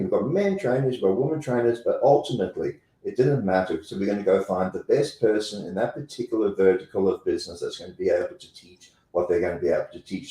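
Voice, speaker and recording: A man's voice: fairly low pitch, dark tone, echoey room, rough recording. A man with a South African accent, talking as a guest in an interview in an emphatic way and repeating phrases for effect. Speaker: guest, company president. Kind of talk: interview conversation.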